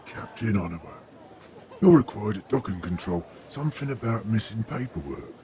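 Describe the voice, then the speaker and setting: A man's voice: lowish voice, lively intonation, normal speed, natural voice. A man playing a cold, courteous assassin in an audio drama, speaking formally with cool menace. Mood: falsely polite. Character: cold, polite male assassin of a secret order. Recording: noisy mix with effects and ambience.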